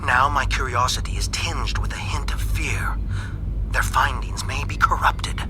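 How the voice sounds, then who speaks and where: An actor playing an anxious, principled reptilian alien scientist in a video game, speaking in a formal, earnest, deliberate way. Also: male voice, mid-range voice, fairly even intonation, whispered, close to an everyday voice, low-quality recording. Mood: weary, uneasy. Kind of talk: scripted video game monologue. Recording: music behind the voice.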